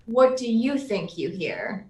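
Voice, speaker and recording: An actress playing a brash, sarcastic young newspaper reporter in a 1940s-style radio drama, needling and skeptical, in a roomy distant recording. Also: female voice, a little high, sing-song, slow pace. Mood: scornful. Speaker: actor in a live radio play. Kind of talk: 1940s radio serial acting. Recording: roomy, echoing, off the mic.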